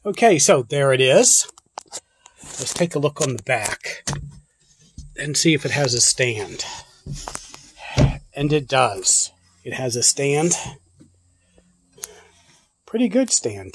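A man's voice: medium pitch, sing-song, takes it slowly, long pauses, a little hiss or hum. A man hosting a prepper channel, talking casually off camera as he unboxes gear on a porch and thinks aloud with loose restarts. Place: porch and yard, outdoors.

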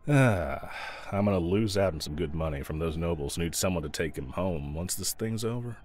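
A man's voice: low-pitched, expressive, a little fast, darkish tone, slight background noise. A man playing a snarky, pragmatic yet protective mercenary escort in a fantasy audio roleplay, talking to the listener in a wry, teasing, laid-back way. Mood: grumbling, caring.

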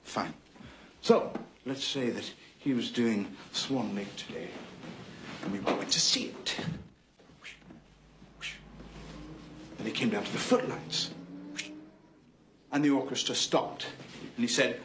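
A man's voice: medium pitch, lively intonation, unhurried, a little hiss or hum, close to an everyday voice. An actor playing an opinionated silent-film performer who defends his art in a theatrical, lecturing manner. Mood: persuasive. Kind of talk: film drama monologue.

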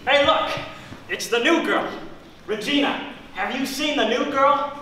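Young man playing echoey, high-voiced boisterous cart hunter. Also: measured pace, full character voice. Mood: excited. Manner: loud, theatrical whooping and bragging. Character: boisterous, boastful young male store cart hunter in camouflage. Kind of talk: amateur stage comedy acting. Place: indoor theatre stage. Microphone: distant camera. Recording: echoey stage recorded from far off, faint noise.

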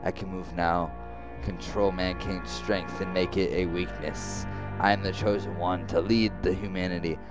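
A man voicing a weary, fearful young man who narrates his ordeal, reading visual novel text with halting, dramatic phrasing. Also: low voice, level tone, music in the background, lightly acted. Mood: awed, determined.

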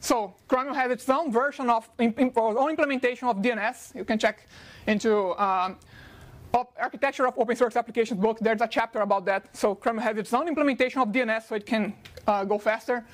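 Brazilian-accented male tech speaker, non-native English, rapid delivery, lots of "uh" fillers, handheld mic in a lecture hall. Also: extremely high pitch. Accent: Brazilian Portuguese. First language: Portuguese. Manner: fast-talking with frequent uh fillers. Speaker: conference speaker. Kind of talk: technical conference talk.

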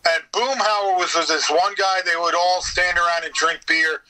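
Male American interview guest, very bright forceful tone, slightly high pitch, rambling and salty. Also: fairly even intonation, moderate pace, poor audio. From United States. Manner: rambling, profane. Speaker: podcast guest, radio theater voice actor. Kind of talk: casual interview chat, anecdotes.

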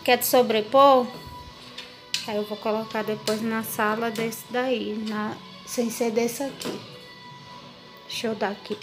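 A woman's voice: a little high, fairly even intonation, measured pace, long pauses, over music. A woman with a Brazilian accent chatting casually to viewers as a home vlogger, informally showing and explaining things as they go. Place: home kitchen, indoors. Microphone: handheld phone or camera, held by the speaker.

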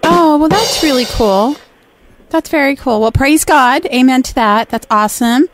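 A woman on a prank call with a sugary, needling manner, pitched a little above most women. She keeps up a sweet phone-survey act while teasing the person she has on the line. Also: moderate pace, no echo, faint music behind. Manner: sugary, teasing, calls her honey and sweetheart. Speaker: radio co-host making a prank call.

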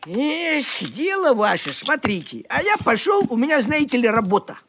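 An actor voicing a grumbling, thrifty, self-important talking male cat in an animated cartoon, with a folksy, indignant manner. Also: sits high, normal speed, faint music behind, full character voice. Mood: sardonic.

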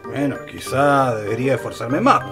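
An actor playing an anxious, downcast married shopkeeper in a radio drama, hesitant and repeating himself. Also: male voice, mid-range voice, lively intonation, normal speed, over loud music, natural voice. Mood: dejected, hesitant.

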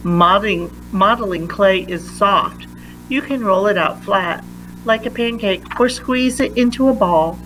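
A woman reading a children's science picture book aloud with deliberate, emphatic phrasing for young listeners. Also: mid-range voice, fairly bright tone, slight background noise, rough recording. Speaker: read-aloud reader.

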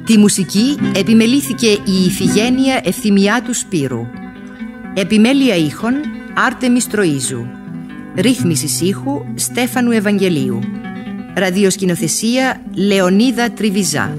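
A woman's voice: mid-range voice, lively intonation. A woman announcer reading radio play credits in a formal, scripted style. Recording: loud guitar music behind.

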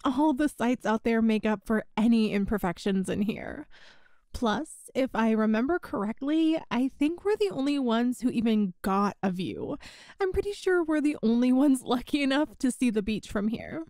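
A friend's older sister, acted by a woman in a roleplay audio, talks in a fairly high voice. She is casual and chatty, with a teasing, giggly manner that covers a secret fondness. The sound is dry, with no room echo. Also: expressive, moderate pace, darkish tone. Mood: light, reassuring. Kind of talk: roleplay audio acting, one side of a conversation.

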